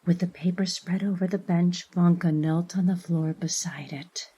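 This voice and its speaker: A woman reading literary fiction as a narrator, in an expressive, measured storytelling manner. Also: on the low side, slightly rough.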